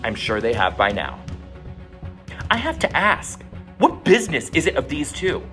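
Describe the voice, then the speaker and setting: A man's voice: fairly high pitch, huge pitch swings, normal speed, pushed and bright, faint music behind, voice pushed up, full character voice. A man voicing an indignant, self-righteous suburban driver in a mock-dramatic reading of a forum post, theatrical and aggrieved. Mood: reasoning, aggrieved.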